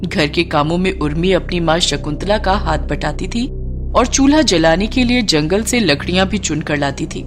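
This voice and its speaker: A woman's voice: fairly low pitch, animated, moderate pace, over music. A woman narrating a scripted moral story.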